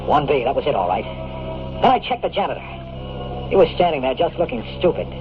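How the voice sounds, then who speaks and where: An actor playing a wisecracking, cynical private detective in 1950s radio drama style, with slangy, tough, sardonic delivery. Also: male voice, a little high, very animated, fast talker. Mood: wry, brooding. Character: wisecracking hard-boiled male private detective, weary and cynical. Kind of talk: 1950s radio drama acting, noir first-person narration. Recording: tinny old-time radio recording, music.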